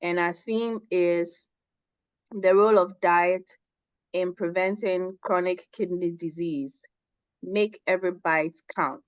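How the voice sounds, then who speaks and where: A woman from Ghana hosting an online professional seminar, making announcements in a measured way over a call. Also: on the low side, fairly even intonation, dry recording. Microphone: online call audio. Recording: dull, dry call audio.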